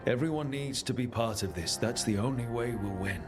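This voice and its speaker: A man's voice: mid-range voice, brisk, music in the background, natural voice. Earnest young hero leader played by a man. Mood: resolute. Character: young male leader of heroes, earnest, resolute. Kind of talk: dramatic video game acting.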